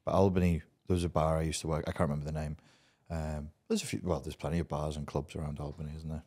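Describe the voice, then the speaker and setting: A man's voice: very deep voice, steady tone, quick pace, warm tone, husky. A man hosting a podcast, asking questions in a casual conversational interview. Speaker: podcast host.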